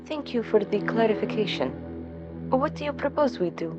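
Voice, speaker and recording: Haughty, high-pitched skeptical lady played by a woman. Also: expressive, moderate pace, highs cut off, over music, lightly acted. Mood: disdainful. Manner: formal, clipped sarcasm. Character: haughty, skeptical female stranger, condescending. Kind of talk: audio drama dialogue.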